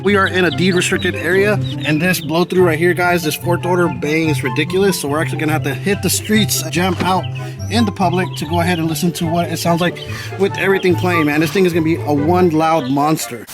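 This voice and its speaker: Male car audio vlogger, high-pitched, slangy and hyped, casual commentary with music clearly behind him. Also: fairly even intonation, fast talker. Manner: informal, slangy, hyped-up delivery. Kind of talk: casual excited vlog commentary.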